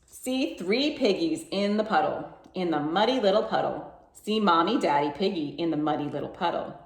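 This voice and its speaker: A woman reads a picture book aloud as a children's storytime host. Her pitch moves up and down a great deal, with playful emphasis and bouncy rhythm. The room sounds echoey. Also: lively intonation, moderate pace.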